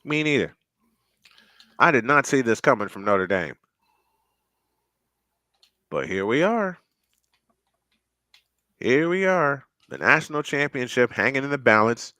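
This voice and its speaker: Male play-by-play caller, pitch jumps on big plays, repeats himself; dry, close sound. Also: expressive, a little slow, pauses often, sharp tone, dry recording. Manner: rises sharply in pitch and volume on big plays, repeats phrases. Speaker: play-by-play announcer. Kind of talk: live football play-by-play commentary.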